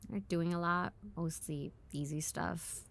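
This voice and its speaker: A woman's voice: fairly low pitch, fairly even intonation, unhurried, vocal fry, fairly bright tone, no echo. A woman acting in an audio drama as a guarded, defiant operative, with a curt, blunt, dry delivery. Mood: guarded, matter-of-fact.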